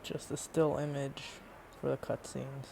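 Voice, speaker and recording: A man's voice: medium pitch, level tone, measured pace, vocal fry, a little mellow, slight background noise, rough recording. A man giving casual commentary on a video game. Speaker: gameplay commentator.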